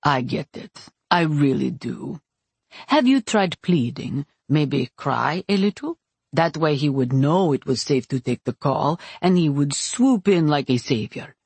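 A woman doing a wry, low, husky male priest. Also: very animated, measured pace, a little mellow, no room sound, heavily acted. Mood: soothing, reasoning. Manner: soothing, reasonable, dryly humorous. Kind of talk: audiobook character acting.

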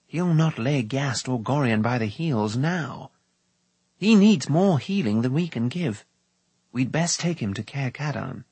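A spirited bard companion from a storybook, done by a male narrator. The voice is strongly raspy and leaps about in pitch. He sounds fretful and exclamatory. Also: measured pace, no echo. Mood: worried. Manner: animated, exclamatory.

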